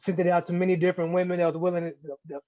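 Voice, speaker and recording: A man's voice: high-pitched, completely flat delivery, racing, no room sound. Guy in his 40s chatting casually, slangy n laid back, dry thin phone sound. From Germany and Missouri, USA. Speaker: guest, hip hop artist and producer. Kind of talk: casual interview chat. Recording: narrow, tinny phone-like call audio.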